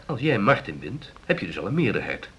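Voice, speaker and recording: A shrewd husband, wry and advising, with a lowish voice that moves in lively swings. It is natural radio play dialogue on an old recording with faint noise. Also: male voice, brisk. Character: dryly teasing, shrewd husband coaching his ambitious wife.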